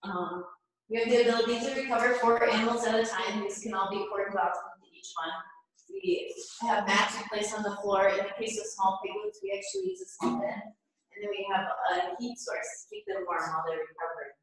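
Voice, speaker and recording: A woman's voice: medium pitch, lively intonation, fairly quick. A woman in her forties giving a matter-of-fact, informal explanatory tour of a research facility, recorded on a phone. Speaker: research facility staff guide. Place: indoor tiled surgery and recovery rooms. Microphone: handheld phone a few feet away. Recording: echoey hard-walled room, phone video.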